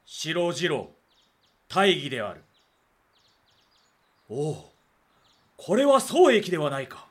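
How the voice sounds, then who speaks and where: An actor playing a proud, loyal and impassioned samurai lord with lordly archaic speech in a Japanese period audio drama. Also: male voice, a little high, wildly swooping pitch, takes it slowly, a bit bright, slightly rough, full character voice.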